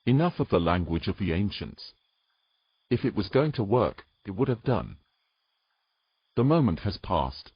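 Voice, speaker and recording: A man playing a weary, gruff knight with dry, teasing humour in a romantic fantasy audio drama. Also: fairly low pitch, big pitch swings, a little slow, long pauses, lo-fi sound, full character voice. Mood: tired, irritated.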